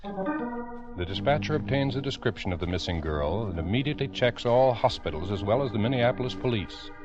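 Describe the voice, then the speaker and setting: A man narrating a scripted 1950s radio drama in a grave, deliberate storytelling manner on an old, dull recording. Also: mid-range voice, big pitch swings. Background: dramatic music.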